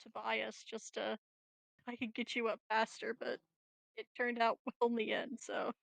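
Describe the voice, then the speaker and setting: A woman's voice: a little high, monotone, a little slow, vocal fry, a bit bright, dry recording, poor audio. A woman talking casually as a player in an online tabletop roleplaying game.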